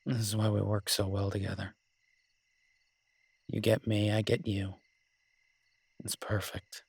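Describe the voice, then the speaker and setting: Romantic audio roleplay: werewolf boyfriend voiced by a man, husky and lowish, teasing yet devoted, conversational, very dry sound. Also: flat delivery, no echo, heavily acted. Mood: tender. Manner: tender, teasing, halting conversational delivery. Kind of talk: romantic roleplay audio, intimate one-sided dialogue.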